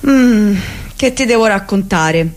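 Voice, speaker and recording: A woman's voice: medium pitch, expressive, takes it slowly. A woman with an Italian accent answering in a wry, dismissive tone during a radio reading.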